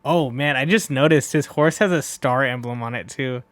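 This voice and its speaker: A man's voice: medium pitch, moderate pace, a bit bright, no room sound. A man hosting a manga read-along in a casual, informal way, with rambling asides between the lines he reads.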